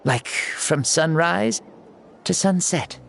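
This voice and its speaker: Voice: mid-range voice, expressive, slow, creaky, fairly bright tone, a little hiss or hum, full character voice. An actor voicing a jaunty, grandiose ancient fire-god spirit in a boy's body for a video game, playful and theatrical with old-fashioned turns of phrase. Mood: jaunty, chatty. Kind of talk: video game character acting. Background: music under the voice.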